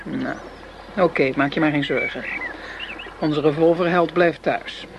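High-voiced, cool, teasing crime boss. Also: male voice, unhurried, gravelly, noisy background. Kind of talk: Dutch radio play acting.

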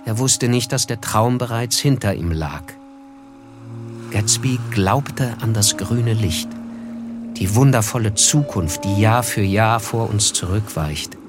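An actor playing a disillusioned, reflective narrator, telling a literary story in a measured way in a radio drama. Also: male voice, on the low side. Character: reflective male first-person narrator, disillusioned.

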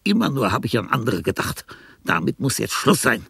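An actor playing a frail, dying old composer in a radio play, self-pitying and grandiose, rambling theatrically with a breathy, husky voice that often falls to a whisper. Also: male voice, medium pitch, big pitch swings, moderate pace, a bit bright, dry recording, clean studio sound, heavily acted. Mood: bitter, self-pitying. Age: older. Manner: theatrical, rambling, with sudden swings from murmur to outburst. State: frail, ailing.

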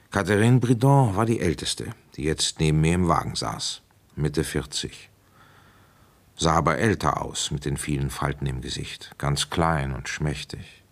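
An actor playing a middle-aged village police inspector in a German radio play, measured and matter-of-fact. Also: male voice, deep voice. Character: seasoned male village police inspector telling his case.

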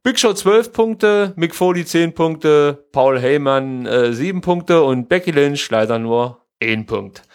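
A male podcast co-host speaks in a fairly high-set voice. He talks casually and colloquially, offering firm opinions like someone chatting with a friend. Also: lively intonation, slow, hardly any pauses. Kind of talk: casual chat about pro wrestling.

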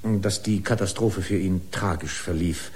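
A company lawyer in a radio drama. His voice is fairly low, and he speaks formally yet haltingly, as if awkward about his own case. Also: a little mellow. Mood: hesitant. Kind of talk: German radio play acting.

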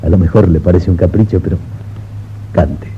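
A man's voice: low-pitched, steady tone, moderate pace, close to an everyday voice. An actor playing an imperious, romantic wealthy man in 1950s radio drama style, intense and commanding. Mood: insistent, yearning. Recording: muffled noisy 1950s radio recording.

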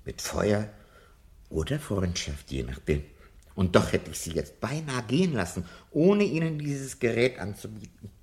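Radio drama: anxious elderly professor, low register, very mobile intonation, timid intellectual. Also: male voice, big pitch swings, measured pace, a little mellow. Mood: earnest. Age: older. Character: timid, anxious old former literature professor. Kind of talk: 1970s German radio play acting.

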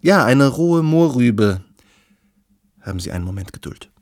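An actor playing an eloquent, worldly and uncanny gentleman in a radio play, speaking formally and musingly in a husky voice. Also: medium pitch, big pitch swings, unhurried, noisy background. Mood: self-assured.

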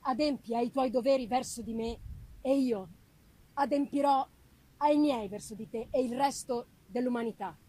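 A woman voicing a tall, wretched created monster, bitter, pleading and menacing, in halting, deliberate phrases for a gothic novel reading. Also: fairly high pitch, mellow, pitched below the performer's own voice, close to an everyday voice, rough recording. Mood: menacing, reproachful. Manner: slow, halting, deliberate delivery in short phrases. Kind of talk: dramatic audiobook-style reading of a gothic novel.

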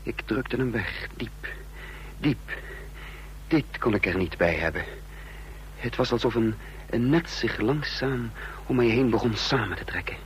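An actor playing a haunted, confused man caught in espionage, narrating in brooding, halting fragments in a radio drama. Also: mid-range voice, lightly acted. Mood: brooding unease. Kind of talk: Dutch radio drama acting, introspective narration. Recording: noisy old radio play recording.

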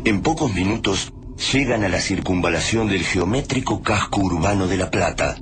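A man narrating a radio serial from a script, dramatic, solemn and deliberate. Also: low-pitched. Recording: radio drama with noisy scene effects.

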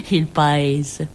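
A woman's voice: deep voice, darkish tone, exaggerated voice. An actress playing a naive, simple-minded village woman in a theatrical monologue, rambling and childlike with a southern Italian, Calabrian accent. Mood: pleased, chatty. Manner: rambling, childlike, with muttered asides and little interjections. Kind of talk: theatrical monologue in a radio drama.

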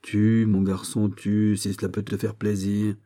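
A man playing a gruff, middle-aged musketeer captain in an audiobook, blustering and mocking, with fatherly sarcasm. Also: fairly low pitch, completely flat delivery, unhurried, dark tone. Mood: sardonic scolding. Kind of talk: dramatised audiobook of a historical novel.

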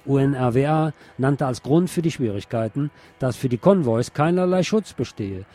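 A man reads the news from a script in standard German pronunciation. His voice has a soft, mellow tone at a medium pitch, suited to a radio bulletin.

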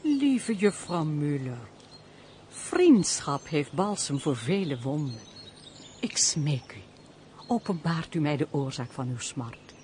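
A woman acting a lively, compassionate countess in a Dutch radio play, with formal, expressive, theatrical speech. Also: low-pitched, takes it slowly, full character voice. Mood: earnest pleading. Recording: noisy old radio play recording.

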